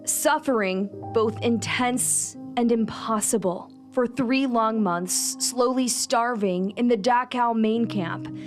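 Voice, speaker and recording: A woman reporter reading scripted news feature narration in a solemn, dramatic, measured way. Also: on the high side. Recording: music bed under voice-over. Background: instrumental music.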